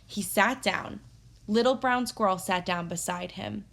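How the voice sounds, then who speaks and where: A woman teacher reading a story aloud for children, expressive and instructive, pausing to pose guiding questions. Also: medium pitch, creaky. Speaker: elementary teacher.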